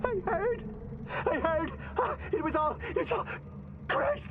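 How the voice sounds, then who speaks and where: A frantic, paranoid fringe-magazine writer in a video game. He stammers and rambles, his pitch swinging wildly, through a muffled, noisy phone connection. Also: expressive, a little slow. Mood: panicked. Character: panicked paranoid male conspiracy-zine writer on the run.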